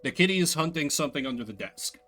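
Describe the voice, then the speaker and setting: A man's voice: a little high, expressive, fast talker, bright, forceful tone, faint music behind. A man chatting casually as he streams a game, rambling through opinionated, self-deprecating asides. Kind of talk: casual chat while playing a game. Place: indoors at a desk.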